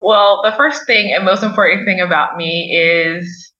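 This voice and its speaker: A woman from Liberia telling her own story as an interview guest, in a measured, emphatic way. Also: lowish voice, fairly even intonation, bright, forceful tone, roomy sound, recorded from a distance, lo-fi sound. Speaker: podcast guest, coach.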